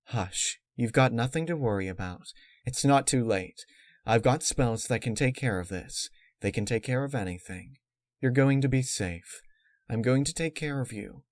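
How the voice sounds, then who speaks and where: Young unicorn mare acted by a man: raised pitch, earnest and polite, coolly calculating, dark storybook villainy. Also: normal speed, dry recording, studio quality, close to an everyday voice. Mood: soothing reassurance.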